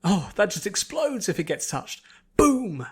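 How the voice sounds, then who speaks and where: A man's voice: high voice, very animated, unhurried, slightly rough, pitched above the performer's own voice, exaggerated voice. A man performs a jovial dwarf trainer in a fantasy audiobook. He speaks up heartily and a little raised, folksy and reassuring as he instructs. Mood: amused.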